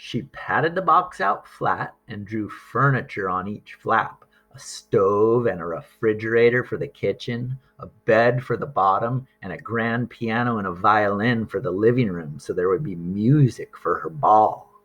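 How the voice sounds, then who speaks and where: Man, husky voice, very unhurried, expressive children's storybook narration, mid pitch. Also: lo-fi sound, soft background music. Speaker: storybook reader. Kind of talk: children's read-aloud narration.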